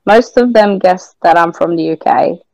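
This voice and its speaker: A woman's voice: fairly low pitch, normal speed, a little mellow, no room sound, dull audio. A Norwegian woman with a British-leaning accent with a Norwegian tinge, hosting a podcast in a chatty way with many fillers. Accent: Norwegian-tinged British English. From Norway. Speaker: podcast host. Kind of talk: casual chat.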